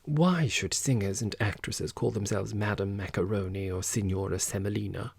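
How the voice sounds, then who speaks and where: A man's voice: lowish voice, normal speed, vocal fry, slightly rough, dry recording. Man voicing an exuberant, teasing woman singer. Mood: playful. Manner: playful, exclamatory. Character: exuberant, teasing woman opera singer, warm-hearted and playful. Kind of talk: audiobook character voice.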